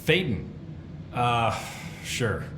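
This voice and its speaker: An actor playing a flustered, eager-to-please prison supervisor in a video game, hesitant, rambling and awkwardly polite. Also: male voice, mid-range voice, sing-song, extremely slow. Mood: nervous, worried. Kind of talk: video game voice acting, conversational. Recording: echoey space with noisy ambience.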